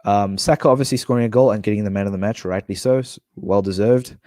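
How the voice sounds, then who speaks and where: A man's voice: on the low side, fast talker, dark tone, no room sound. Guy livestreaming a footy manager game, stuffy nose, keeps restarting sentences, dry close sound. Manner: chatty, rambling, many restarts and fillers. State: blocked nose. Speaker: gaming streamer. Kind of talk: live stream commentary on a football manager game.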